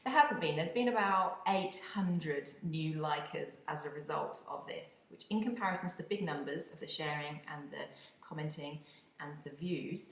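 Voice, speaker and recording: British woman, podcast host, chatty and wry, slightly low voice, echoey room. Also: normal speed. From United Kingdom. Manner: chatty and expressive, with wry asides. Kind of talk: solo podcast monologue.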